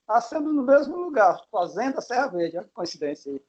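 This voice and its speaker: A man's voice: very high voice, big pitch swings, brisk, darkish tone, husky. A man hosting a casual interview, friendly and familiar with his guest, asking questions and sharing his own memories. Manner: friendly, calls guest "my dear". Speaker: interviewer, host. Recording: dull lo-fi sound.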